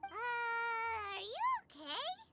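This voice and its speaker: A woman voicing a tiny, cheerful, helpful fairy companion in a video game, with a squeaky childlike voice. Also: wildly swooping pitch, extremely slow, exaggerated voice. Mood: bright, concerned. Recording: game music behind the voice.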